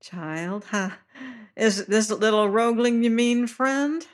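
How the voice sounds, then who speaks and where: A reader voicing a fat, sly sailor man who talks in a soft, high-pitched, falsely friendly voice in old sea dialect. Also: slow, natural voice. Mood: oily, wheedling.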